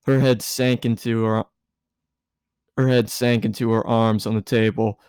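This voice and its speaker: A man's voice: lowish voice, level tone, measured pace, a little mellow, clear, resonant tone, no echo, clean studio sound. A man narrating fan fiction aloud as an amateur reader, often stumbling over phrases and repeating them to correct himself. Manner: stumbling, self-correcting reading. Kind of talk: story narration, read aloud.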